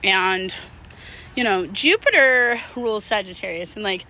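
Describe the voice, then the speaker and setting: A woman's voice: medium pitch, animated, a little slow, bright, forceful tone. A middle-aged woman talking casually and unscripted to her viewers, candid and slangy, rambling and drifting mid-thought. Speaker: vlog host.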